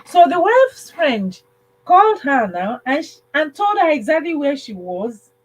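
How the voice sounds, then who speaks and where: Slow-talking woman telling a story. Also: high voice, very animated, low-quality recording. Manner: halting, repeats phrases, checks in with listeners. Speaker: livestream host telling a story. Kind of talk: casual spoken storytelling with advice.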